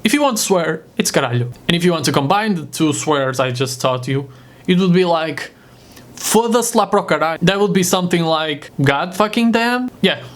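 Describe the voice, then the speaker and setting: A man's voice: a little high, animated, moderate pace. A man from Portugal with a Portuguese accent, a native Portuguese speaker, giving an informal vocabulary lesson in a casual, jokey manner. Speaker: host teaching vocabulary. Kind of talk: informal video language lesson.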